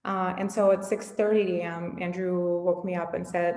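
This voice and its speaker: A woman tells her story earnestly, in a voice with a gravelly edge. She is a Russian speaker talking in a casual interview. The sound carries a roomy, echoing reverb. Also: on the low side, level tone, moderate pace, a little mellow. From southern Ukraine. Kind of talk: casual conversation.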